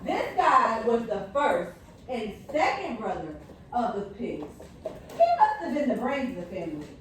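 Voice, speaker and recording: Woman reading as a self-pitying storybook wolf, high-pitched, lively swooping delivery, wry and theatrical. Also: measured pace. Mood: aggrieved, wry. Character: indignant wolf telling his own side, self-pitying, mock-innocent. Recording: very echoey room, distant mic, faint noise.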